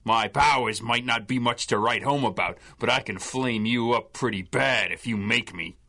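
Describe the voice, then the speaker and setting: A small-time supervillain from an old radio serial. His mid-pitched voice is sharp and forceful. He threatens and gloats in crude gangster slang. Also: moderate pace, creaky. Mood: threatening. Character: sneering male supervillain henchman with flame powers. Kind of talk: 1930s radio gangster-villain acting.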